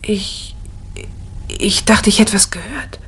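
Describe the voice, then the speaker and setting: An actress playing an uneasy, curious companion of a sleuth in a 1960 radio mystery, theatrical and questioning. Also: female voice, mid-range voice, slow pace, fairly bright tone. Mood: nervous. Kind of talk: 1960 German radio mystery play acting. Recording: vintage vinyl radio play, faint noise.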